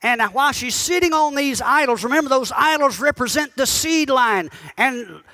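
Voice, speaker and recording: Pastor guy preaching, really high and bright voice, keeps asking the crowd stuff. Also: animated, moderate pace. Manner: conversational preaching that calls out to the congregation; asks the listeners pointed questions. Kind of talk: sermon teaching.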